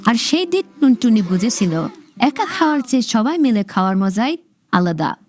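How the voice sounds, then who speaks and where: A woman narrating a scripted children's story in an expressive storybook manner. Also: a little high, a little hiss or hum.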